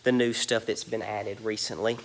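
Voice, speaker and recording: A man's voice: lowish voice, flat delivery, moderate pace, slight background noise. Rambling man with a stuffy nose. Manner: rambling, many ums and "you guys". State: stuffy, nasal from allergies. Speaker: reseller giving a warehouse tour. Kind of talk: casual walk-through vlog. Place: large indoor warehouse.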